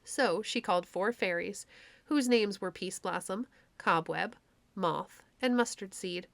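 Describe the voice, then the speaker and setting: A woman's voice: mid-range voice, unhurried, gravelly, a bit bright, no room sound. A woman narrating a classic children's story in a scripted read-aloud style.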